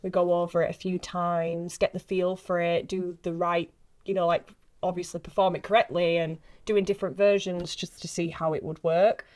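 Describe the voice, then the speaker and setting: A woman's voice: on the low side, flat delivery, moderate pace, darkish tone. A woman in her late twenties, an actress chatting casually on a podcast in a giggly, self-deprecating way. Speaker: actress being interviewed.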